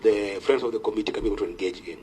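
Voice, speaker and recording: A man with a Kenyan accent speaking as a senator on procedure, formal and deferential, in a halting delivery. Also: on the low side, a little mellow, slight background noise. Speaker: senator raising a procedural point. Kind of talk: formal parliamentary debate.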